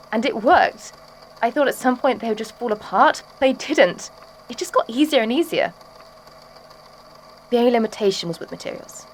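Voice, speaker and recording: A woman's voice: medium pitch, slight background noise, lightly acted, rough recording. A woman playing a former schoolteacher turned obsessive artist, giving a chatty, matter-of-fact confession in an audio drama. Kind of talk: audio drama statement monologue.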